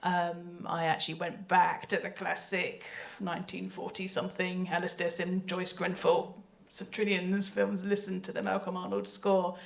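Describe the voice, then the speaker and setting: A woman's voice: fairly low pitch, completely flat delivery, moderate pace, gravelly, few pauses. A woman speaking on a panel as a composer and sound designer, chatty, hesitant and often interrupting herself. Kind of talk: panel talk about creative work.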